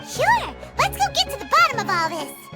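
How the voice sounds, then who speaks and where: A tiny floating fairy sidekick from a video game, extremely high and squeaky. She is childlike and excitable, swinging wildly in pitch as she fires off blunt questions, with loud music underneath. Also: moderate pace, heavily acted. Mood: eager.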